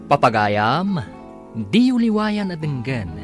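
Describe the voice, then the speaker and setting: Male serial narrator: theatrical announcer read, very animated, over prominent guitar music. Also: a little high, slow pace. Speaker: radio drama narrator and announcer. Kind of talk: dramatic scripted narration.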